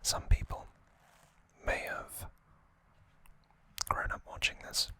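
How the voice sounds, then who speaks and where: ASMR host, fully whispered and breathy, slow delivery in short hushed phrases, crisp and very bright. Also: dry recording. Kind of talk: ASMR whispered anime recap and trivia.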